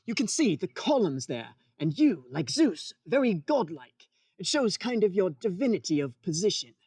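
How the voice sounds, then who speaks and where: A woman's voice: lowish voice, sing-song, normal speed, darkish tone, breathy edge, dry recording. A woman playing a flustered, deferential male committee adviser in a radio comedy sketch. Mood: obsequious. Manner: deferential, prim, apologetic. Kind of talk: old-time radio sketch comedy parody.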